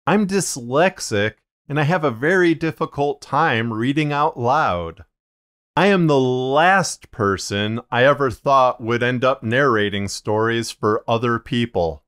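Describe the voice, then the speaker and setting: Male podcast narrator, mid-pitched, slightly muddied tone with limited range. Measured, plain-spoken explainer delivery. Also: animated, fairly bright tone, smooth. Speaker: fiction podcast narrator and video host.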